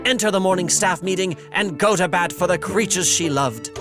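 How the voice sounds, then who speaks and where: A man's voice: high voice, moderate pace. A man narrating a scripted fantasy audio drama in a wry, dramatic storytelling manner. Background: music.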